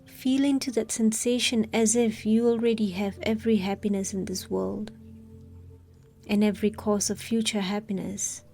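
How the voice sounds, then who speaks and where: A woman leading a scripted guided meditation in a calm, soothing, unhurried manner with long pauses. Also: mid-range voice.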